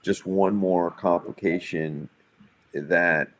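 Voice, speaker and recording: Low-voiced man, halting in business talk. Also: steady tone, noisy background. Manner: hesitant, halting, repeats word starts. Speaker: live event producer planning a show. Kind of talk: casual business meeting talk.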